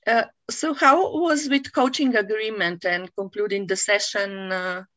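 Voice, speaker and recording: A woman coachee, whose first language is not English, speaking hesitantly with many pauses and uhs. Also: mid-range voice, fairly even intonation, fairly bright tone, no room sound. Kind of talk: mentoring session.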